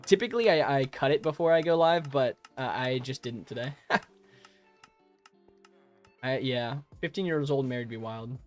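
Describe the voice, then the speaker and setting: A man's voice: mid-range voice, moderate pace, pauses often, faint music behind. Chatty young man live streaming. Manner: friendly, rambling, laughs often, many fillers and restarts. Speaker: live streamer answering chat. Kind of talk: casual live-stream chat while gaming. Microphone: modest home microphone.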